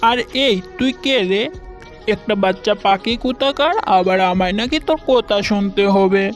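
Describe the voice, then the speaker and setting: A woman's voice: mid-range voice, normal speed, faint music behind, lightly acted. A woman voicing a boastful, bullying lion king in a children's cartoon, blustering and exclamatory. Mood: gloating, menacing. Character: bullying, boastful lion king who turns wailing coward.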